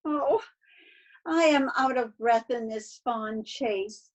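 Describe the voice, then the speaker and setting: A woman's voice: a little high, slow. A woman playing a desperate, self-pitying young Athenian woman in a stage verse read-through over a video call, plaintive and theatrical. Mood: breathless, self-pitying.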